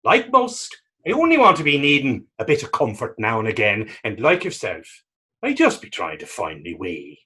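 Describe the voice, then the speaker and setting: A man playing a battered, pious and self-mocking fisherman in readers theater, telling his story in halting, folksy dialect. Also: mid-range voice, huge pitch swings, pushed and bright, breathy edge, heavily acted. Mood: reflective. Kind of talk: readers theater dramatic dialogue.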